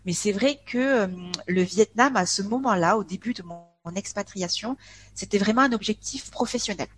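A woman's voice: medium pitch, normal speed. A woman of forty from France, a guest chatting casually in an interview, talking in a hesitant way with many filler sounds and restarts. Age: 40s. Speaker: podcast guest.

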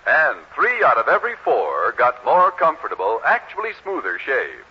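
A man's voice: mid-range voice, huge pitch swings, normal speed, husky. A man reading a scripted commercial in 1940s radio announcer style. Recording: muffled 1940s radio recording.

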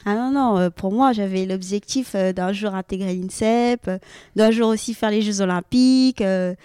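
A woman's voice: medium pitch, moderate pace, warm tone, clean tone, no echo, studio quality. A young woman from French Guiana answering interview questions, hesitant, with many fillers and frequent laughter. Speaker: guest athlete.

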